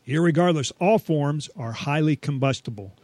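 Casting: male radio co-host, slightly high voice, scripted home-advice banter, dry close studio sound. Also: normal speed, darkish tone, dry recording.

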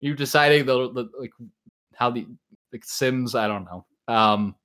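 A man's voice: mid-range voice, unhurried, fairly bright tone. Rambling, self-deprecating male podcast host. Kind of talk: casual podcast chat.

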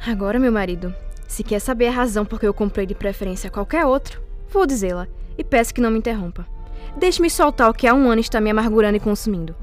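Actress as cold, vengeful young Brazilian heiress for radio soap, higher-pitched voice, light music underneath. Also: female voice, expressive, fast talker, close to an everyday voice. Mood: bitter, scornful. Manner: formal, imperious, bitter. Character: proud, wealthy young bride, wounded, cold and vengeful.

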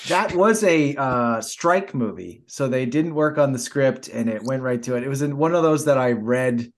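Halting man chatting on a film podcast. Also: medium pitch. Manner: halting, repeats words while searching. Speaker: podcast co-host. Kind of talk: casual film chat with insider anecdotes.

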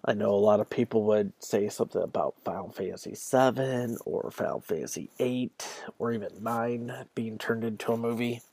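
Gravelly-voiced man hosting a casual podcast. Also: lowish voice, normal speed, hardly any pauses, darkish tone, no room sound. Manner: conversational, addresses listeners directly. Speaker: podcast host. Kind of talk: casual solo podcast talk.

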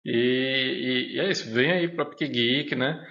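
A man's voice: mid-range voice, a little slow, gravelly, pushed and bright. A man speaking with a northeastern Brazilian accent, a professor and podcast guest chatting casually, hesitant and full of fillers, often ending with a questioning "né?". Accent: Brazilian Portuguese, northeastern. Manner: hesitant, many fillers and repeated "né?". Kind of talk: casual interview chat. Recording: echoey room, dull lo-fi sound.

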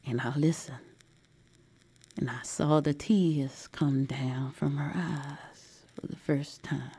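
A woman voicing a curious, tender young granddaughter, telling her story in hushed, wondering dialect. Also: sits low, steady tone, slow, breathy edge, heavily acted. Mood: sad, tender. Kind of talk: dramatic audio storytelling.

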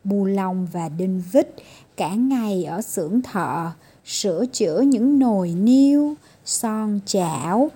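A woman's voice: on the high side, warm tone, slightly rough. A woman storyteller reading a children's fairy tale as scripted narration.

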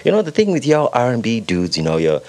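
A man's voice: medium pitch, wildly swooping pitch, fast talker, slight background noise. A man hosting a radio interview in a studio, talking casually and hesitantly, with many fillers and repeats. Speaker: radio host.

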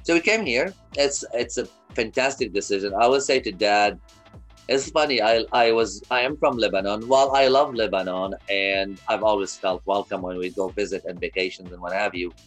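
Interview guest, man in his fifties from Kuwait, lowish voice, earnest, restarts a lot, faint music underneath. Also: moderate pace. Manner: earnest, repeats words and restarts often. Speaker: guest, media company founder. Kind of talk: casual interview storytelling.

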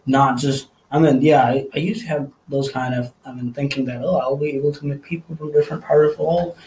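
A man's voice: medium pitch, steady tone, fairly quick, a little mellow, low-quality recording. A man whose first language is Nepali, a college student answering interview questions in a thoughtful, hesitant way with many fillers.

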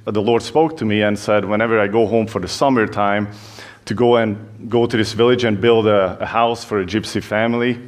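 A young man from Hungary speaks with a Hungarian accent, pitched a little low. He tells his story to a church crowd in a loose, joking way, pausing on fillers and restarting lines. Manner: chatty, self-deprecating, many fillers. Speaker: youth pastor. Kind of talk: church talk, personal story.

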